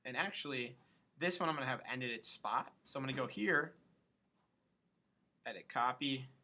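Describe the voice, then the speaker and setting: A man giving a casual software tutorial, thinking aloud and loosely muttering to himself between steps as he works. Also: mid-range voice, moderate pace. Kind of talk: casual screen-recorded tutorial, thinking aloud while working.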